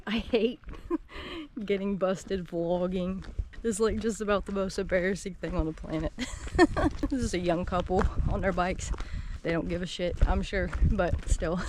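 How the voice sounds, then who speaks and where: A woman's voice: lowish voice, unhurried. Rambling woman hiker in her thirties. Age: 30s. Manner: rambling, scattered, laughs often. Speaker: solo hiker vlogger. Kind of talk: casual walking vlog chat. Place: wooded trail outdoors. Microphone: action camera mic carried while walking. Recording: outdoor background noise.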